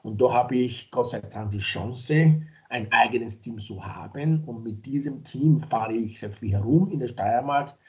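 A man's voice: medium pitch, measured pace, hardly any pauses, highs cut off. A middle-aged man from Nigeria, a podcast guest talking casually and hesitantly, with many fillers and restarts. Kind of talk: casual interview talk.

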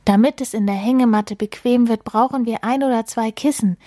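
A woman's voice: fairly high pitch, fairly quick, warm tone, dry recording, studio quality, pitched above the performer's own voice, close to an everyday voice. A woman voicing a male mole from a storybook, fretful, bossy and sly, with a fussy, insistent way of cautioning. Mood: demanding, self-satisfied.

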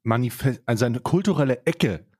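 Man, mid-pitched, rambling mock-serious podcast chat, dry close sound. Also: animated, brisk, darkish tone, breathy edge, no room sound. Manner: rambling, repeats phrases mockingly. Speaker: podcast co-host. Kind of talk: casual comedic podcast banter.

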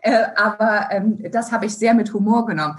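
A woman from Brazil answers interview questions at a measured, reflective pace. She talks with many fillers and restarts and works English phrases into her answers. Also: medium pitch. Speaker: guest, finance executive.

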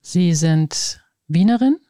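Calm, low-voiced woman interviewer. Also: slow pace, dry recording, clean studio sound. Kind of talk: measured interview questions.

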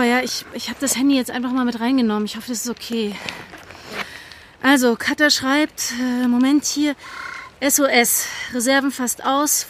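A woman acting an earnest young activist with a high, girlish pitch. She talks in halting, colloquial bursts, as in a naturalistic audio drama, with faint noise behind her. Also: natural voice. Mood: worried, urgent. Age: young adult. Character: earnest, agitated young female climate activist.

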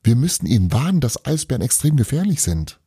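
A man's voice: medium pitch, very animated, fairly quick, warm tone, husky, no echo, clean studio sound, pitched above the performer's own voice. A man voicing a timid, frightened boy in a children's story, with an anxious, faltering delivery. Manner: anxious, stammering.

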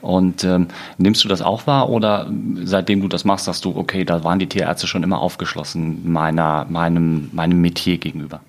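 Deep-voiced thoughtful man. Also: level tone, a little fast, few pauses, darkish tone. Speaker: veterinarian. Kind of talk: podcast conversation.

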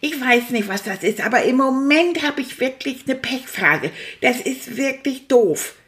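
A woman voicing a boastful, childlike male toy rat who chatters excitably and impatiently to young listeners on a children's audio show. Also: sits high, measured pace. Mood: annoyed.

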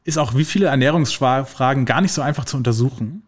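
A man talking through health science in a relaxed, conversational way. He pauses often on fillers and works through everyday examples. His pitch sits in the middle range for a man. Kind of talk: casual explanatory conversation.

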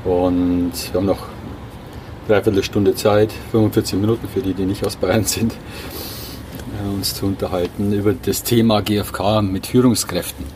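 A man's voice: lowish voice, steady tone, moderate pace. A man hosting a podcast and interviewing a guest in a friendly, chatty way. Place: rooftop terrace in a city, outdoors. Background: faint traffic noise.

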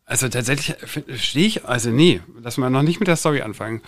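A man in his thirties from Germany talks quickly, rambling through his thoughts with lots of fillers and half-finished restarts. His voice sits at an ordinary middle pitch, and the chat is loose and unscripted. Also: expressive. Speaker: agency co-founder and podcast regular. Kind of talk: casual chat.

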